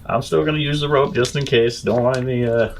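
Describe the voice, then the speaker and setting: A man playing a tabletop game, talking casually in character with measured, hesitant phrasing. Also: medium pitch, steady tone, low-quality recording. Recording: clear background noise.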